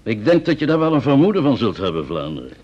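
An actor with a Netherlands Dutch accent playing a doubtful, probing senior police chief in an old radio play, formal and deliberate. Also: male voice, medium pitch, huge pitch swings, background noise. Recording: dull, muffled old radio recording.

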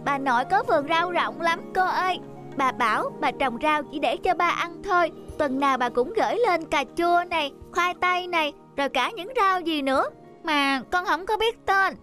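A performer playing a cheerful young child in an animated family moral tale. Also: very high-pitched, moderate pace. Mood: cheerful, chatty. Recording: music behind.

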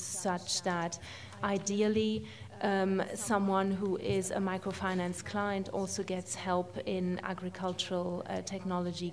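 A woman's voice: fairly low pitch, a little mellow. A woman answering on a panel for a development charity, hesitant and full of fillers.

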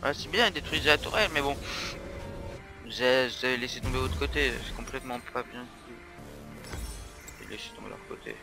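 A man commenting casually on his own game, excitable, swearing often and repeating himself. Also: mid-range voice, fairly quick, vocal fry, pauses often. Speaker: gamer and video maker. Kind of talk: casual live gameplay commentary. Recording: voice over loud game music.

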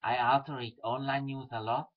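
A man's voice: medium pitch, flat delivery, moderate pace. A young man with a Swiss German accent earnestly reading a letter aloud into his webcam in a small room at home. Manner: earnest, careful, slightly stilted reading. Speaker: webcam video message sender. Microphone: webcam built-in mic. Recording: dull lo-fi webcam audio.

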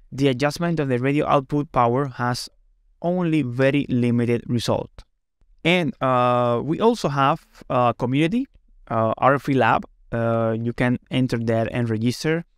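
A man's voice: medium pitch, clear, resonant tone, no echo, studio quality. A man giving a webinar product presentation in an explanatory way, often checking in with "right?". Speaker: company presenter.

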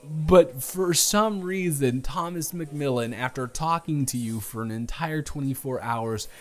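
A man's voice: fairly high pitch, unhurried, close to an everyday voice. A man acting out a playful, flustered young guy on a first date in an improvised audio roleplay, teasing and stammering with mock indignation. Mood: enthusiastic, playful. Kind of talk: improvised romantic audio roleplay, casual chat.

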